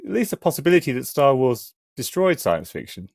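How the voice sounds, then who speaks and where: Man, middle-aged, medium pitch, casual discussion, hesitant phrasing, dry close recording. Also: very animated, fairly quick, gravelly, darkish tone, dry recording. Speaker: podcast guest, academic.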